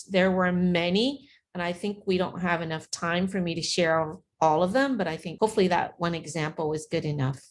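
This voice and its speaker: A middle-aged woman, a parent giving earnest, chatty interview answers with many "you know"s. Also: fairly low pitch. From grew up in America, Vietnamese heritage.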